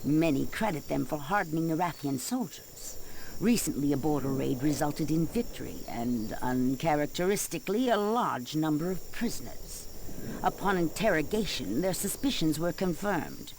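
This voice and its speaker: A woman reading scripted mission briefings for a game, in a measured, ominous, dramatic way. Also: deep voice, animated, a little mellow, slight background noise, low-quality recording.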